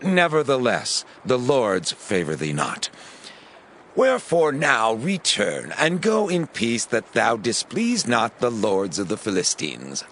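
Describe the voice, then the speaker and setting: A man playing an earnest, conciliatory Philistine king in a dramatized scripture reading, with measured, stately declamation. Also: mid-range voice, huge pitch swings, a bit bright, slight background noise, exaggerated voice. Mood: solemn, regretful.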